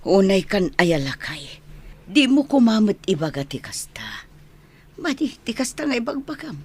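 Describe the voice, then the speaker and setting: An actress with a Filipino accent playing a caring but nagging wife in a radio drama, husky and scolding. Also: female voice, medium pitch, very animated, normal speed, darkish tone. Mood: reproachful. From Philippines. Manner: scolding, concerned. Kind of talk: Philippine radio drama acting.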